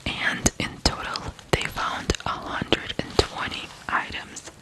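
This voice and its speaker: An ASMR host from Ukraine whispering a true crime story in a hushed, deliberate way with pauses. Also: poor audio.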